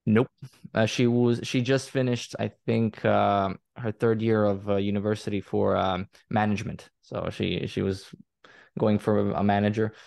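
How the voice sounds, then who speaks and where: A young man with a Croatian accent, talking casually as a guest on an interview show. His voice sits low and carries a gravelly, fried edge, and he rambles, restarting his sentences and filling the gaps with fillers. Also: level tone, unhurried, darkish tone. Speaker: guest, content creator.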